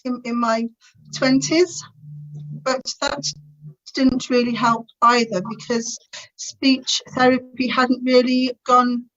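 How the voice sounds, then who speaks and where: Woman in her 50s who stammers, talks real slow, dull muffled call sound. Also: sits high, little pitch movement, a bit bright. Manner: stammers, with frequent repeated sounds and blocks. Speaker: conference speaker. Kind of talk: personal talk at an online conference. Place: at home on a video call.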